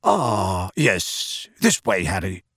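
A man acts out a mild, kindly middle-aged dad from a storybook. He speaks in a measured, reassuring way with gentle eagerness. The sound is close and dry. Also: low-pitched, sing-song, husky, dry recording, voice pushed down, full character voice. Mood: anxious, preoccupied.

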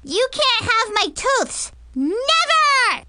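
A plucky, mischievous little girl. The voice is squeaky and bright, childish and full of emphasis, with big swings in pitch. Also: big pitch swings, extremely slow, dry recording, heavily acted. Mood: defiant screaming.

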